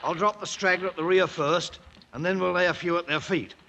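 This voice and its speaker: A man's voice: high-pitched, fairly quick, creaky, pushed and bright, heavily acted. A man in a 1950s radio drama playing a bold, ambitious British ex-soldier who makes himself king, commanding and boastful in colloquial speech. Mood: brisk, commanding.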